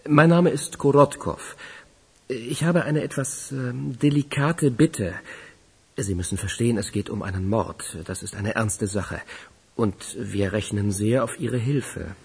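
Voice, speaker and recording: A man's voice: medium pitch, unhurried, gravelly, darkish tone, breathy edge, heavily acted. An actor playing a courteous, persistent, flirtatious plainclothes policeman in a radio crime drama. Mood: earnest, discreet.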